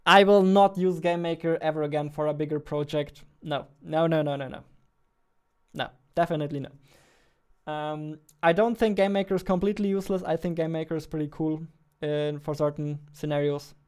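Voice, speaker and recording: A man's voice: on the high side, brisk, darkish tone, smooth. A man in his twenties chatting casually on a live stream into a close studio mic, informal and self-deprecating. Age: 20s. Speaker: game developer live-streamer. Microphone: close studio mic on boom arm.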